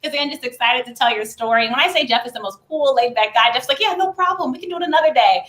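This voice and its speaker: Upbeat fast-talking woman radio host. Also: sits high, reverberant. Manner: energetic, enthusiastic, laughs often. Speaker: sports talk radio host.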